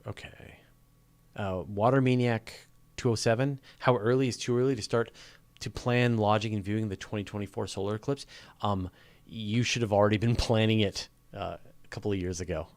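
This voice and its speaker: A man with a fairly low voice hosts a casual live Q&A, speaking to viewers in an informal, conversational manner with a joking streak. The sound is dry and free of room echo. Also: fairly even intonation, moderate pace. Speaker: host of a live space questions show.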